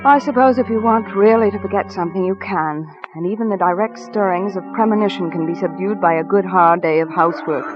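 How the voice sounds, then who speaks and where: A woman's voice: medium pitch, fairly even intonation, fairly quick, hardly any pauses, natural voice. A woman playing an anxious, devoted wife in a 1940s radio suspense drama, speaking tenderly and earnestly. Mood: uneasy narrating. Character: anxious, devoted wife telling her own suspense tale. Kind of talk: 1940s radio drama acting and first-person narration. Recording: dull vintage radio recording, music behind.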